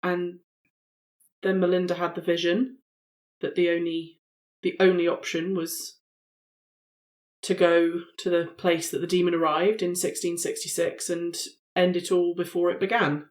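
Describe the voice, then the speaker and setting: A player voicing an earnest, intelligent woman time traveller in a tabletop game, with halting, sombre delivery. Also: medium pitch, little pitch movement, close to an everyday voice.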